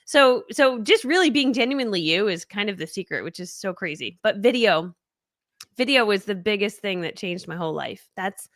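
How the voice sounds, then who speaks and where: A woman's voice: medium pitch, animated, moderate pace, fairly bright tone, no echo, clean studio sound. A woman guest in a casual interview, chatting in a bubbly way with frequent laughs and fillers. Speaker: guest speaker.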